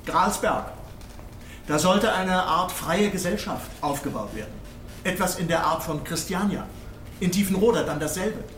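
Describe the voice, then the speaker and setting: An insistent, long-winded prosecutor in a radio play. His voice is high and formal, making pointed, halting arguments. It sounds distant in a very roomy space with faint noise. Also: a bit bright. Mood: insistent, accusing. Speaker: public prosecutor in court. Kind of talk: scripted audio drama courtroom speech.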